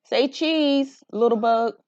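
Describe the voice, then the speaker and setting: A woman voicing a stubborn, excitable, easily upset little schoolgirl in a storybook, with emphatic childlike delivery. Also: fairly high pitch, takes it slowly, no room sound, lo-fi sound, pitched above the performer's own voice. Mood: playful.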